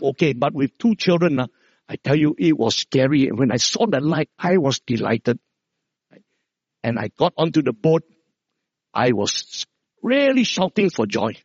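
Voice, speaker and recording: A middle-aged man preaching a Christmas sermon, with a loud voice and a storytelling manner, dropping low to stress key words. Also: no echo. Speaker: pastor. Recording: dull, dry lo-fi sound.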